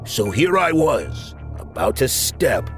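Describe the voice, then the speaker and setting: A man's voice: mid-range voice, very animated, slow pace. An actor playing a cocky, tough, wisecracking mob hitman in a video game, with an American accent and brash wiseguy slang. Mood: grim, narrating. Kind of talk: video game cutscene acting. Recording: music behind the voice.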